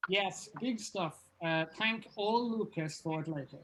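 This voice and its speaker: A woman's voice: lowish voice, unhurried, lightly acted. Breezy bandleader, played by a woman, noisy recording. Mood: matter-of-fact. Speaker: table read participant. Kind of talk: amateur script read-through.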